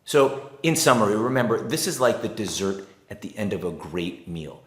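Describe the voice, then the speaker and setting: A man's voice: lowish voice, very animated, moderate pace. A middle-aged American man explaining business advice in an emphatic, plain-spoken coaching manner as a host. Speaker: investor relations advisor and host. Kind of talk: explanatory talk to camera. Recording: roomy, echoing room.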